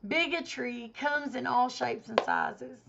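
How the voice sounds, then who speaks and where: A woman vlogger airs an emphatic, indignant opinion straight to camera. Her voice is hoarse and gravelly from a cold, sitting a little high. The audio is dull and lo-fi. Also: slow, a bit bright, a little hiss or hum.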